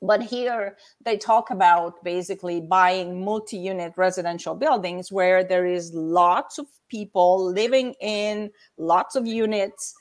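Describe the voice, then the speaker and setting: A woman's voice: on the low side, a little slow, few pauses. A woman in her fifties from Hungary with a Hungarian accent, a guest explaining things step by step in a casual chat.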